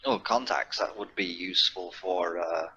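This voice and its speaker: A man's voice: low voice, moderate pace, a crowd in the background. A man chatting casually as a tabletop game player, thinking aloud hesitantly, on a slightly dull lo-fi recording. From Britain. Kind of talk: casual chat during a game.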